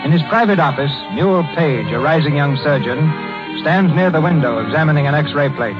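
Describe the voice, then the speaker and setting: A man's voice: fairly high pitch, expressive, fairly quick, close to an everyday voice. A man narrating a 1930s radio drama in a polished, scripted style. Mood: measured, scene-setting. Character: male radio narrator setting the scene, polished. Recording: dull lo-fi 1930s radio recording. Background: music.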